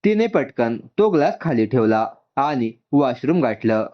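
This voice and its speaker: A man's voice: medium pitch, big pitch swings, measured pace, lo-fi sound. A man narrating a scripted story as a storyteller, voicing its dialogue along the way.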